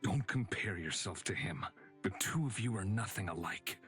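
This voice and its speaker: Game companion, a loyal man hardened by battle. Low register, direct, grounded delivery. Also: expressive, moderate pace, fairly bright tone, faint music behind. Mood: reassuring. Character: loyal, battle-hardened male companion, once hot-headed. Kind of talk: visual novel game voice acting.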